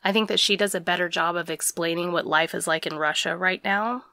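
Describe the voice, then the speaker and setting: A woman's voice: fairly low pitch, fairly even intonation, fairly quick, sharp tone, no room sound, studio quality. A woman hosting a podcast, casually recapping the news in plain, conversational terms. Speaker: podcast host.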